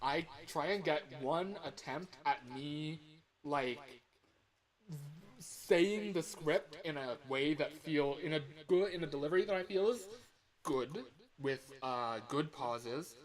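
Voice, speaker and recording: A man's voice: a little high, takes it slowly, rough recording. A man chatting casually on a livestream as an amateur voice actor, rambling, self-deprecating and breaking off mid-thought.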